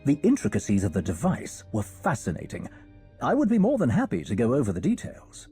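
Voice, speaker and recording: A man voicing a composed, learned scholar in a game, soft and slightly husky, with polite, measured formality. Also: medium pitch, wildly swooping pitch, faint music behind, heavily acted. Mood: obliging. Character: courteous, learned male scholar of ancient relics, composed. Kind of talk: video game cutscene acting.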